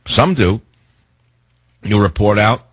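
A man hosting a talk radio show, delivering a sarcastic, indignant monologue with theatrical swings in pitch. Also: animated, takes it slowly, a bit bright, slightly rough, no echo.

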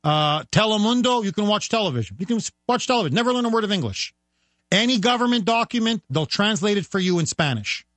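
A man guest-hosting a talk radio show, talking in a forceful, rhetorical way and speeding up as he presses his points. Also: high-pitched, animated, quick pace, dry recording. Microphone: studio microphone.

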